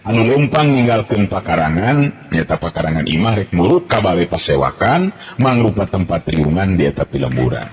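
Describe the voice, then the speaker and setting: A man narrating a traditional folk tale in a measured, descriptive way, recorded for old radio. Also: sits low, big pitch swings. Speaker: storyteller.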